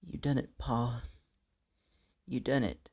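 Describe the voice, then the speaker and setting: A man playing a stubborn teenage son speaking softly to his father in an amateur Western audio drama. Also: medium pitch, takes it slowly, no echo, studio quality. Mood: soft, grieving.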